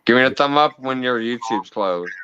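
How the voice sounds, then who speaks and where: A man's voice: medium pitch, lively intonation, moderate pace, sharp tone, a little hiss or hum. A man hosting a casual livestream, laughing and coaxing guests to join the call. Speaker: livestream host. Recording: dull, lo-fi stream audio.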